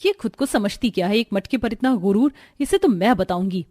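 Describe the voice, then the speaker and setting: A woman voicing a jealous, sharp-tongued young sister-in-law in a cartoon moral story. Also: medium pitch, animated, brisk, no room sound, clean studio sound, natural voice. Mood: spiteful.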